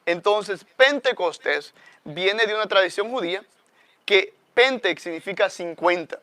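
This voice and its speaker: A man's voice: high voice, lively intonation, a little slow, sharp tone. A middle-aged man with a Salvadoran accent, a guest friar speaking in an earnest, explanatory way with many fillers. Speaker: guest friar, parish priest. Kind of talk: conversational religious talk.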